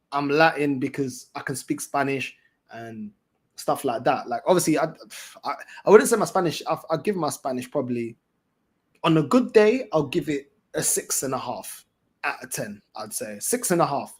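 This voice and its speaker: Husky London man, chatting casually. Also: on the high side, lively intonation, moderate pace. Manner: slangy, repetitive, emphatic. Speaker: fan channel host. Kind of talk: casual livestream chat.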